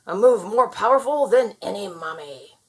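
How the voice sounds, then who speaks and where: A woman narrating a picture book aloud for children in an expressive, performed style. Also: mid-range voice, normal speed. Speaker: storybook reader.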